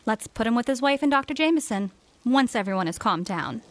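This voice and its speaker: Young lady of the house played by a woman, radio play mystery, fairly high voice, controlled and polite. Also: animated, fairly quick, natural voice. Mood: composed. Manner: polite, controlled, a little prim. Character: composed young granddaughter of the house, politely defiant.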